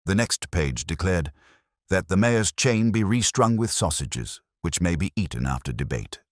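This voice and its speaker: Very deep male mystery narrator. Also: animated, moderate pace, no room sound, studio quality. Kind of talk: scripted audiobook narration of a mystery.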